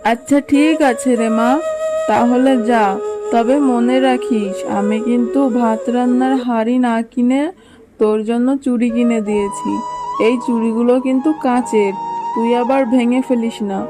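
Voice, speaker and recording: A woman's voice: a little high, steady tone, moderate pace, close to an everyday voice. A woman voicing a practical, indulgent fox mother who scolds, in a children's cartoon. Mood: cautioning. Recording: music behind the voice.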